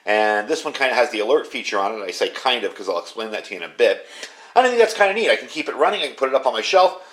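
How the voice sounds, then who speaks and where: A man's voice: medium pitch, very animated, rapid-fire, a bit bright. A man giving a casual hands-on product review in an informal, conversational way, recorded in his garage studio. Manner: plain-spoken, informal, conversational.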